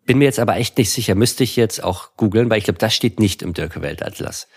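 A man's voice: on the low side, a little fast, darkish tone, husky. A man co-hosting a podcast, asking questions and adding unsure asides in casual talk. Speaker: podcast co-host, asks the questions.

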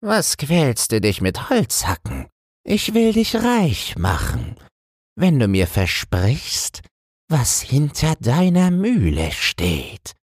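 A man voicing a sly, menacing devil in a fairy tale, scornful and commanding, with drawn-out words and a husky rasp. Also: mid-range voice, wildly swooping pitch, no echo, clean studio sound, voice pushed up, exaggerated voice. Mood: sly, tempting. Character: sly menacing devil posing as old stranger.

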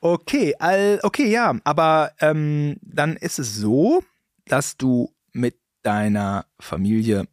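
A chatty man with a slight accent hosts a relaxed conversation, his pitch swinging up and down as he jokes and asks questions. The sound is close and dry, with no room behind it. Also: takes it slowly, no echo. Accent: slight non-native accent. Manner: chatty, jokey, lively, frequent fillers and trailing questions. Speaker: podcast host. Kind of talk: casual interview chat.

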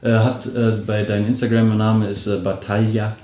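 A man's voice: lowish voice, level tone, normal speed, warm tone. Friendly male podcast host in a roomy space. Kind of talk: scripted intro then casual interview. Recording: roomy echo.

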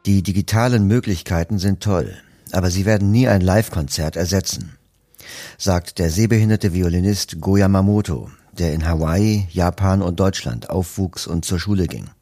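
A man's voice: deep voice, normal speed, no echo. A man presenting an audio magazine episode in a formal announcer style. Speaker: podcast presenter. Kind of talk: scripted introduction. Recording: dry studio voice over soft music. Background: soft music.

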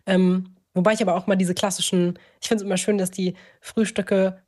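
A woman's voice: medium pitch, little pitch movement, moderate pace, darkish tone. A woman co-hosting a podcast in an informal, conversational chat. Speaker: podcast co-host. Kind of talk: casual chat.

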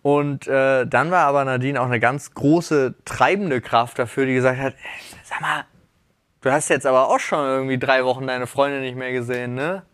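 A man's voice: medium pitch, fairly even intonation, moderate pace, fairly bright tone, clear, resonant tone, no room sound. A man co-hosting a casual podcast, rambling and breaking off mid-sentence as he tells stories from his own relationships. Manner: rambling, self-interrupting, laughs at his own remarks. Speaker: podcast co-host.

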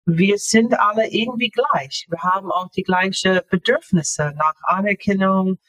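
A woman in her fifties, an author talking casually as an interview guest, halting and searching for words with many fillers. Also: medium pitch, a bit bright.

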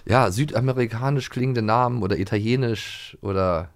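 A man's voice: medium pitch, no echo. A man co-hosting a podcast in casual conversation, often repeating words as he searches for the next one. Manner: halting, repeats words mid-sentence. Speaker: podcast co-host. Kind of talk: casual chat.

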